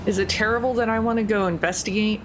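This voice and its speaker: A woman playing a tabletop game, chatting casually and thinking out loud. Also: medium pitch, fairly even intonation, fast talker. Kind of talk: casual play talk. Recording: noisy background hiss.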